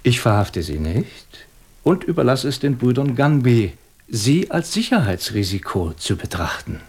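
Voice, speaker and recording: An actor playing a shrewd, composed police inspector in a German radio crime drama, questioning methodically with dry superiority. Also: male voice, medium pitch, big pitch swings, a little slow, slightly rough, heavily acted. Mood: dryly triumphant. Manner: methodical, probing, dryly superior.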